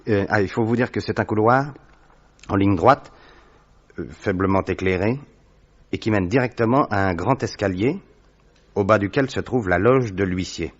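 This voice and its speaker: Casting: deep, anxious clerk telling his story, played by a man, muffled audio. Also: natural voice. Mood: recounting. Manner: measured storytelling, hesitant. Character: worried young male government clerk, Phelps. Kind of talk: radio drama acting. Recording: dull muffled audio recording.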